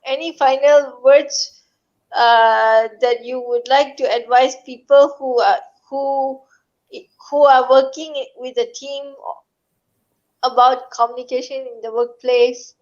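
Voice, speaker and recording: A woman co-hosting a live online chat, asking slow, hesitant questions with many pauses. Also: high-pitched, fairly even intonation, a little mellow, dull audio.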